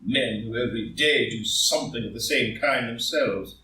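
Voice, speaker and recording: A man playing a proud, ambitious, anguished gentleman politician, speaking in impassioned formal rhetoric in a live play reading. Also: medium pitch, moderate pace, close to an everyday voice. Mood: defensive, agitated. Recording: roomy echo, far from the mic.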